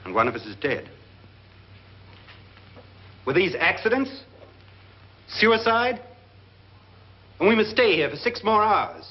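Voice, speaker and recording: An actor playing a grave, suspicious guest in a haunted house, speaking formally and deliberately in 1950s horror film style. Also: male voice, high-pitched, wildly swooping pitch, full character voice. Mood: grave, foreboding. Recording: muffled 1950s film soundtrack.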